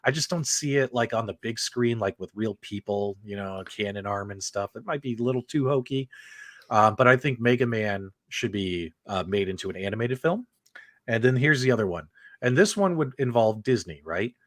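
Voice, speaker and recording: A man co-hosting a casual panel chat, talking with eager enthusiasm and big swings in pitch. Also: moderate pace, fairly bright tone, no room sound. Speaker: co-host.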